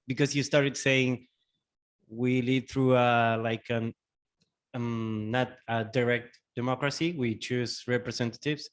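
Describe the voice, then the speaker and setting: A man from Argentina stands up in the audience to ask a question. He talks at a middle pitch, hesitating and hunting for his words. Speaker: audience member asking a question.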